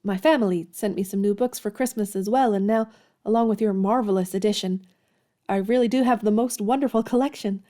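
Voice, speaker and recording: An actress playing an earnest, polite young woman writer and governess in an audio drama, with expressive delivery. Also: medium pitch, a little fast, natural voice. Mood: grateful.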